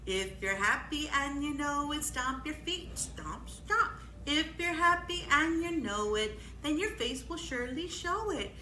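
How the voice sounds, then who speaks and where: Female children's storytime host: very lively intonation, unhurried delivery, encouraging questions, recorded in an echoey room. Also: high voice. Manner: exclamatory, encouraging, prompting listeners with questions. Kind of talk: children's storytime with action songs and guessing games. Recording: echoey room, faint background noise.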